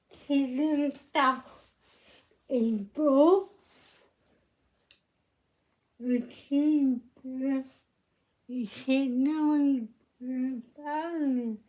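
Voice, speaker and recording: Hoarse woman rambling slowly to her viewers, kinda high voice. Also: mellow, low-quality recording. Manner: chatty, rambling greetings. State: hoarse from illness. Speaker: embroidery vlog co-host. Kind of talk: casual chat with viewers.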